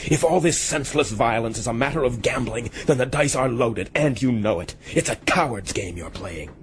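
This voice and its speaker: An actor playing a principled, weary gentleman officer at sea, earnest and reproachful, in a radio drama. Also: medium pitch, lively intonation, moderate pace, slight background noise, lightly acted. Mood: indignant. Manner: earnest, well-spoken, reproachful. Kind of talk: broadcast radio drama acting.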